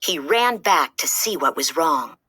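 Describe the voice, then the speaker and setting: A woman reading a children's story aloud with expressive narration. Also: low-pitched, unhurried, slightly rough, no echo, studio quality.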